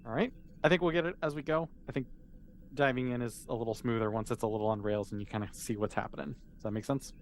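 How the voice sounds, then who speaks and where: A man's voice: medium pitch, fast, slight background noise. A man game mastering a tabletop role-playing game, explaining and narrating in a casual, informal way.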